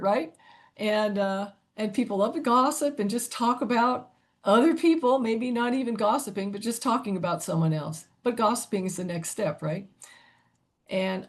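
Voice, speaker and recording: An older woman presents a webinar in a chatty, conversational way, though her voice still sounds youthful. Her pitch swings up and down as she talks, and she keeps checking in with her listeners with "you know" and "right?". She sits at a middle pitch, neither high nor low.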